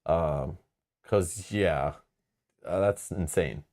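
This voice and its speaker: Man with a very low voice, casual stream host, rambly, frank, lots of fillers. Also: drawn-out words, a little mellow. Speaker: board game channel host. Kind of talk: casual live-stream chat.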